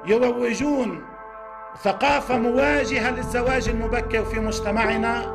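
Male public speaker, high voice, music clearly behind. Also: steady tone, normal speed.

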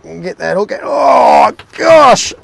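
A middle-aged man hosting a fishing show, giving excited, exclaiming commentary from a small boat. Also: extremely high pitch, slow pace, gravelly, noisy background. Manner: enthusiastic, exclaiming, talks to the fish and his mate.

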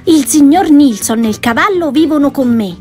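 A woman voicing a cheerful, boastful little girl who tells wild tales, in a lively, exclaiming way. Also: sits high, moderate pace, faint music behind, pitched above the performer's own voice.

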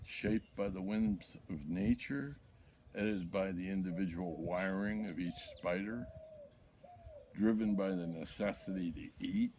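An older man reading his own poetry aloud in a deliberate, declamatory way. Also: low-pitched, steady tone, mellow. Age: older, 60s or 70s. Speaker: poet reading own work. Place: outdoors in a backyard. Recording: outdoor ambience with birds, background noise.

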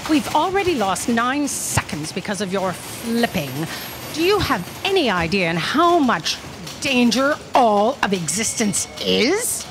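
Woman as a stern, animated female commander. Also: a little high, measured pace, over music. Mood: stern, urgent. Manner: clipped, scolding. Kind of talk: staged sci-fi comedy audio drama. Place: theatre stage, live performance. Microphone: stand microphone. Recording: noisy live stage recording.

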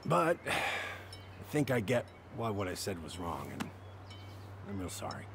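An actor playing an awkward, kindly middle-aged backwoods handyman in a video game, halting, rambling and folksy. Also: deep voice, sing-song, background noise, full character voice. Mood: sheepish, sincere. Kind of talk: naturalistic video game acting.

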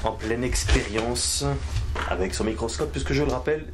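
A man's voice: mid-range voice, normal speed, noisy background. A man hosting a comic mock interview, mock-serious and suddenly bossy and insulting. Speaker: host. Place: indoor cluttered shed.

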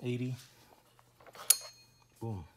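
A man's voice: medium pitch, extremely slow, heavy background noise. A man casually commenting while he unboxes and tests adjustable dumbbells, repeating short stock phrases. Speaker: product reviewer. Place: room with foam floor mats, indoors.

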